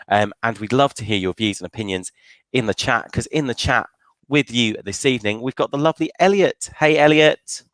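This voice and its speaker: A man's voice: mid-range voice, a bit bright, no room sound, low-quality recording. A man hosting a live online fan panel show in a chatty presenting style.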